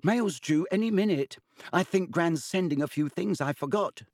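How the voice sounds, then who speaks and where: A man's voice: on the high side, moderate pace, a little mellow, no room sound, clean studio sound, voice pushed up. A man voicing a timid, anxious but friendly schoolboy in a storybook reading. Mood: cheerful. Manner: timid, nervous urging.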